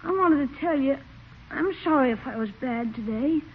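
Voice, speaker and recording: An earnest, anxious young boy in an old radio comedy, pleading and apologetic. The high voice rises and falls freely over a tinny, noisy forties broadcast. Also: expressive, moderate pace. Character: anxious, apologetic young boy, nephew living with his uncle. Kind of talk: 1940s radio comedy acting.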